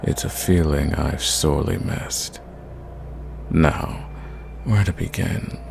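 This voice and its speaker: An ancient, sadistic vampire acted out by a man speaks in an extremely low voice full of gravelly creak. He takes his time, slow and theatrical, condescending to his listener as befits an ASMR fairytale roleplay villain. A faint noise sits behind the voice. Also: heavily acted. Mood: hungry, dominant. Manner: theatrical, condescending, measured, drawing out words.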